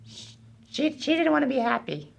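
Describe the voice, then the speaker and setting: A woman's voice: high voice, very animated, unhurried, creaky, full character voice. A woman voicing a childlike, hesitant young boy puppet in a children's puppet show. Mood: glum. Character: glum, confused young boy puppet named Bobby.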